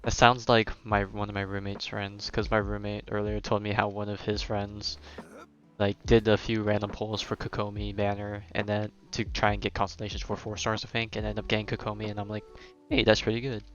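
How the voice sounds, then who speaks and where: Young male game streamer, lowish voice, conversational and rambling, slightly muffled mic with music underneath. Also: monotone, brisk, fairly bright tone. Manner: rambling, conversational, thinking aloud. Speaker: game livestreamer. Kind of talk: casual live gameplay commentary and chat.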